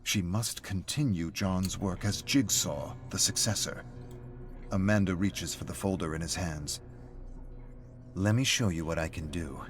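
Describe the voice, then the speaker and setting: Very deep-voiced man narrating over music. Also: measured pace. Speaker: reader of story entries. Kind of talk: scripted story narration.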